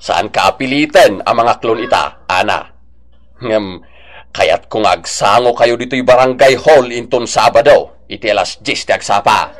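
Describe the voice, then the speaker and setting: Actor as a stern, measured village captain. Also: male voice, fairly low pitch, natural voice. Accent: Ilocano. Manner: serious, official, deliberate. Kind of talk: Ilocano radio drama acting.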